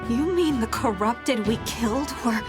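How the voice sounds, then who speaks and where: A woman voicing an earnest, kind young heroine and leader in a video game, sincere and gentle. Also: fairly high pitch, a little slow, over music, close to an everyday voice. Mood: resolute. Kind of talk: video game cutscene voice acting.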